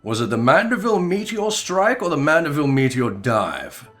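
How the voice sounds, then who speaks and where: Man acting a grand gentleman hero, animated range, declamatory, melodramatic, video game reading, music behind. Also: on the high side, normal speed, voice pushed up. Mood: musing, resolute.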